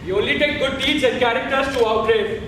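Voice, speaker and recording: An actor playing a pious, proud Mughal emperor, a wounded younger brother, solemn and declamatory in a stage play. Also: male voice, very high voice, brisk, background noise. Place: indoor theatre stage. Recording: echoey stage hall, distant mic.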